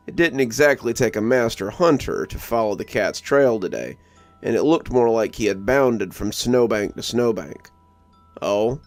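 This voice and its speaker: A man's voice: fairly low pitch, darkish tone, dry recording. A man reading a scripted story as a narrator. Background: music under the voice.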